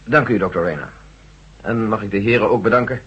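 An actor playing a brisk, authoritative general chairing a tense briefing in a radio drama. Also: male voice, fairly low pitch, a bit bright, slightly rough. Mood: commanding. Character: General Stevens, brisk military commander. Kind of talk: 1970s radio drama acting. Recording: dull lo-fi radio recording.

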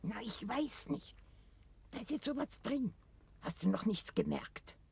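An actress playing a sly old village woman in a German radio play, gossiping and probing with a creaky, insinuating manner. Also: fairly high pitch, huge pitch swings, a little slow, a little mellow, no echo, heavily acted. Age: older.

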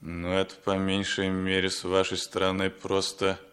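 Formal indignant soviet man in an old radio play, lowish crisp forceful voice. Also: completely flat delivery, moderate pace. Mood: indignant, uneasy. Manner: formal, tense, precise. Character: guarded, indignant Soviet man defending himself, formal and proud. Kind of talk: 1960s radio drama acting.

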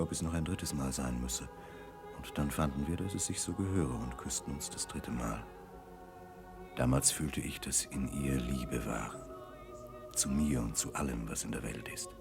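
A remorseful nobleman with an extremely deep voice, haunted by lost love. He speaks in lyrical, earnest inner narration from an old 1960s radio play, with music behind him. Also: measured pace, vocal fry, long pauses, full character voice. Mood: tender, wistful.

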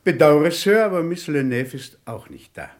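An actor playing a formal, reserved butler in a German radio crime play, deferential but unhelpful. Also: male voice, a little high, expressive, moderate pace, close to an everyday voice. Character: stiffly polite, unhelpful household butler.

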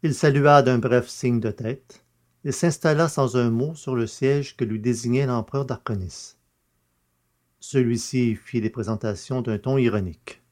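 A man narrates a science fiction audio drama in a scripted, literary style. His pitch sits in the middle range. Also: unhurried, a little mellow.

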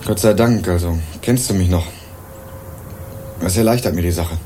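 Actor as low-voiced, matter-of-fact young male investigator. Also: normal speed, warm tone, a little hiss or hum, close to an everyday voice. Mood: earnest, probing. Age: young adult. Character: earnest, dry young male student turned amateur investigator. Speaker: narrator and lead character. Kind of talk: radio crime drama, first-person narration and dialogue.

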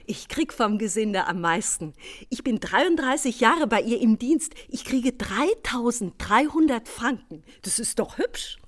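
Talkative housemaid, very lively pitch. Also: female voice, measured pace. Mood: confiding, chatty. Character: talkative, simple, devout older housemaid, naively money-minded. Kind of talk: German radio play acting.

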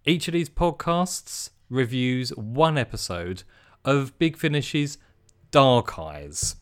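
A man's voice: mid-range voice, expressive, takes it slowly. Upbeat man hosting a podcast. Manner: upbeat, chatty, playful. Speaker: podcast co-host. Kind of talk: casual podcast chat. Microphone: remote call mic.

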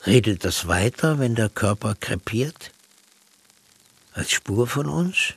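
An actor playing a pensive, cerebral man in a literary radio play monologue, speaking in a measured, reflective manner. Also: on the low side, animated, creaky, slightly rough, no room sound, exaggerated voice. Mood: pensive, questioning. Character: pensive man musing on mind, matter and dreams.

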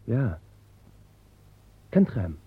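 Seth, a young farmer, earnest and frank in an old radio play. His voice is husky and close-miked with no room sound. The recording is narrow and tinny, like an old broadcast. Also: on the low side, sing-song, very slow, no echo, heavily acted. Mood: wry. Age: young adult. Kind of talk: 1960s Dutch radio drama.

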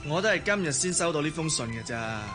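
A man acts as a young Hong Kong Cantonese fiancé in a radio drama. He is a devoted, confident sweet-talker with a fairly high male voice, set over clear background music. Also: lively intonation, fast, natural voice. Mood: proud, playful.

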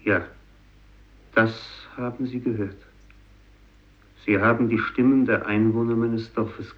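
An actor playing a guilt-ridden, doomed fisherman who narrates solemnly in a radio drama, with heavy pauses. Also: fairly low pitch. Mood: grave, confiding. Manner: solemn, deliberate, halting delivery with heavy pauses. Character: doomed, guilt-ridden Japanese fisherman, sole survivor of his village. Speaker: narrator and main character. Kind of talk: 1950s German radio drama monologue. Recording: dull vintage radio recording.

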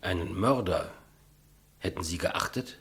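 An actor playing a humble, matter-of-fact state executioner, subdued and deferential, with a husky voice, in 1950s German radio play style. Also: male voice, low voice, unhurried, a bit bright, full character voice. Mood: hesitant, subdued.

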